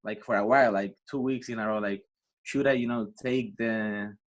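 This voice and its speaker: A young man from Spain with a Spanish accent, speaking English as a second language as a student guest in a casual podcast chat, with a halting way of talking and many restarts. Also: fairly low pitch, steady tone. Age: young adult.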